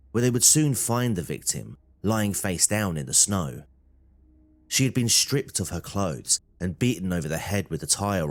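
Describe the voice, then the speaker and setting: A man reading scripted true crime documentary narration. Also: low voice.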